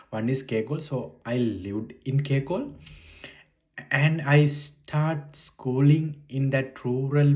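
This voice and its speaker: Sri Lankan man, 40s, native Sinhala, mid-range voice, unscripted vlog talk, hesitant and halting with restarts. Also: mid-range voice, darkish tone. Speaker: vlogger. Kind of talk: casual unscripted monologue.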